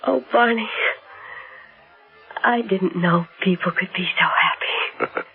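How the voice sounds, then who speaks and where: An actress playing a guarded, enigmatic young bride in a 1970s radio drama, reserved and wistful, speaking with measured tenderness. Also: female voice, medium pitch, vocal fry, narrow, tinny audio. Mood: tender, wistful. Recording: band-limited old radio broadcast with music.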